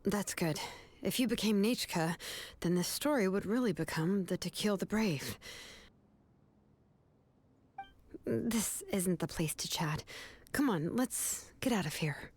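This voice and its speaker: A woman's voice: medium pitch, expressive, unhurried, a little mellow, slightly rough. An actress voicing a cool, capable young woman in a video game, composed, matter-of-fact and protective. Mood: reassuring. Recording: game music underneath.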